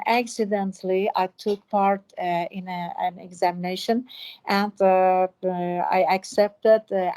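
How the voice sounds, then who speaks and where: A woman in her sixties from Iran, a native Persian speaker, answering interview questions in halting English with many fillers. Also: medium pitch, darkish tone. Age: 60s. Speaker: basketball official and teacher.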